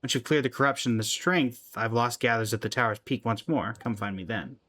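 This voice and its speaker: A man reading aloud the lines of an ancient, gentle, regretful woman guardian in a video game, in a calm, measured way. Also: medium pitch. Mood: solemn.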